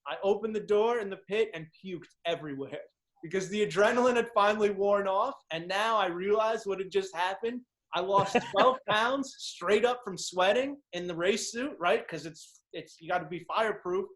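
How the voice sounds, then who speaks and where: High-voiced, excitable podcast host. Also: male voice, moderate pace, low-quality recording. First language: Czech. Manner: enthusiastic, slangy storytelling. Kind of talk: casual interview chat.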